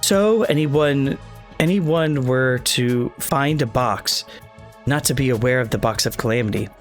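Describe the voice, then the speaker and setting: A man voicing a helpful, earnest ghost boy from a video game, explaining things in a deliberate, halting way. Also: medium pitch, lightly acted. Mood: earnest, explanatory. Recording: game music playing behind the voice.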